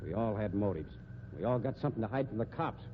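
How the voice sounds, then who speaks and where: An actor playing a tough, slangy, commanding sideshow magician and boss in a 1950s radio drama. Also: male voice, on the low side, expressive, fast talker, close to an everyday voice. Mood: hard, urgent. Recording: muffled, noisy 1950s radio recording.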